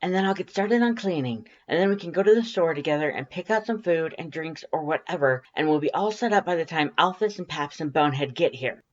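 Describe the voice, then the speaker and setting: A woman voicing a brash, excitable female monster best friend in a fan-fiction audiobook, loud, emphatic and teasing. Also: deep voice, a little fast, few pauses, muffled recording, pitched above the performer's own voice. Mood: eager, bossy.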